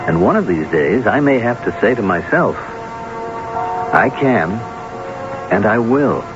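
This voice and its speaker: An actor playing a scheming, smooth-talking husband with an uneasy conscience in a radio drama. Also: male voice, medium pitch. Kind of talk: radio drama acting and first-person narration. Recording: dull, noisy old radio broadcast.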